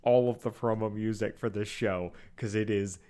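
A man's voice: lowish voice, steady tone, normal speed, dark tone. A young man co-hosting a casual podcast, chatty and joking. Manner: chatty, with frequent ums and jokes. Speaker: podcast co-host.

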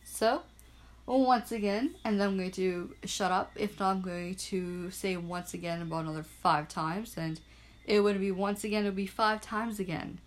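A young woman from Bermuda hosting her own small podcast, chatting in an upbeat, self-deprecating way and spelling things out slowly. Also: medium pitch, steady tone. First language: Portuguese and English bilingual. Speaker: podcast host. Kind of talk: casual solo podcast chat. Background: frogs and crickets at night.

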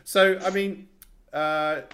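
A man's voice: high-pitched, fairly even intonation, slow pace, fairly bright tone. A man hosting a casual podcast, laughing easily and stumbling through restarts as he asks his co-hosts questions. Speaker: podcast host.

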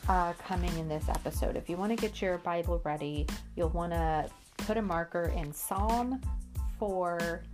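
A woman's voice: lowish voice, moderate pace, over music. A woman with a stuffy nose from allergies, hosting a Bible podcast, reading scripture aloud and then reflecting on it earnestly, with frequent restarts.

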